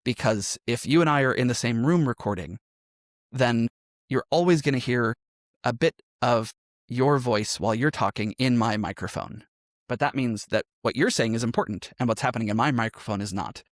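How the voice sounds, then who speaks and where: A man's voice: mid-range voice, moderate pace, no room sound. Man, interview guest, mid-range voice, thoughtful explainer, conversational, dry close sound. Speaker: podcast guest, musician and role-playing game performer.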